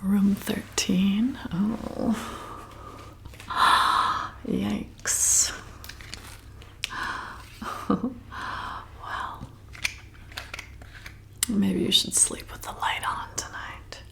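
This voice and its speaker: Casting: woman to play flirty female vampire bartender for ASMR roleplay; breathy whisper thick with fry, unhurried, seductive and welcoming. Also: medium pitch, steady tone, fairly bright tone, full character voice. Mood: hushed, intrigued. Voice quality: breathy whisper with creaky edges. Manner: slow, intimate, coaxing. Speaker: ASMR performer.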